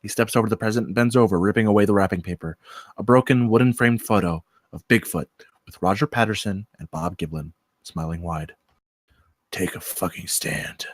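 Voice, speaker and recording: A man's voice: on the low side, brisk, no room sound. A man reading screenplay stage directions aloud as scripted narration.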